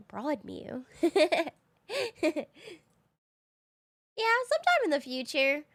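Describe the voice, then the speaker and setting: A woman game streamer chatting casually with her viewers while she plays, slightly raspy from just waking up, easygoing and quick to laugh. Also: high voice, fairly bright tone. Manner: chatty and easygoing, laughs often, thinks aloud. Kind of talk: casual live-stream chat, answering viewers.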